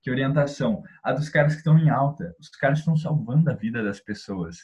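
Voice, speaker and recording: A man's voice: medium pitch, expressive, fast, darkish tone. A man co-hosting a casual podcast among friends, joking in a mock-dramatic way. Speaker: podcast co-host.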